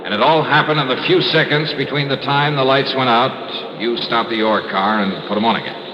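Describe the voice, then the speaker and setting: An actor playing a wry freelance insurance investigator in a 1950s radio drama, asking clipped, skeptical questions. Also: male voice, mid-range voice, a little fast, lo-fi sound, close to an everyday voice. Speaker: investigator and narrator. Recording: old radio broadcast with loud hiss.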